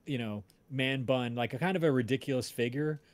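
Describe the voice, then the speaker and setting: A man hosting a casual livestream chat, talking in a halting way as he searches for words. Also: medium pitch. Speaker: host of a cast and crew livestream, audio drama writer.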